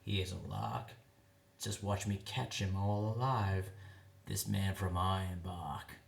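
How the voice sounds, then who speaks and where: A man's voice: sits low, steady tone, takes it slowly, creaky, roomy sound, far from the mic, voice pushed down, exaggerated voice. A man voicing a sly, flashy barber who loves a practical joke, sneering and conspiratorial, in a comic ballad. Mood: sly whispering. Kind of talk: comic ballad character acting.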